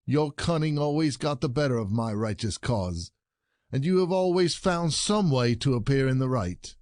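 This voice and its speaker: An actor playing a jealous, gloating peasant husband in a classic comedy audio play, sardonic and declamatory. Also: male voice, mid-range voice, lively intonation, normal speed, a little mellow, dry recording, clean studio sound, lightly acted. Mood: gloating triumph.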